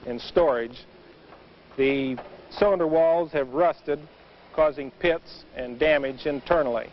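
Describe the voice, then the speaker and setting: A man explaining things in a matter-of-fact, instructive way as a maintenance expert in a training film. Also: fairly high pitch. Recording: muffled noisy vintage training film soundtrack.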